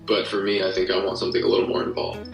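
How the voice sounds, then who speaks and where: A man with a fairly low voice talks casually as an interview guest, explaining things reflectively. The recording is roomy and a bit off the mic, with music behind him. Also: monotone, rapid-fire, vocal fry. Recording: roomy, slightly distant-sounding, music underneath.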